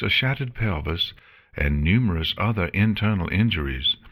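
A man's voice: low-pitched, a bit bright, voice pushed up. A man raising his voice for an anxious pony nurse. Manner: formal, anxious, quick to apologize. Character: nervous, polite female pony nurse.

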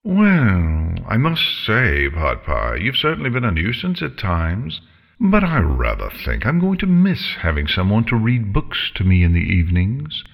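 A man's voice: fairly low pitch, wildly swooping pitch, unhurried, vocal fry, long reverb tail, pitched above the performer's own voice, heavily acted. A man voicing a female dragon in a storybook, chipper and prim, with nonchalant, condescending menace. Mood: breezy, fond.

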